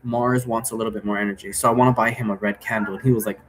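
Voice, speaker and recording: A man's voice: lowish voice, steady tone, rapid-fire, background noise. A man giving a casual, rambling explanation in the manner of a vlogger, often checking in with a questioning tag. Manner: often tags sentences with a questioning "right?". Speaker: vlogger explaining role play rules.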